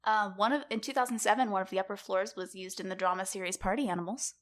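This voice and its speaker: A woman's voice: mid-range voice, fast talker. Young woman explaining casually on a podcast. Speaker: podcast co-host presenting the episode's topic.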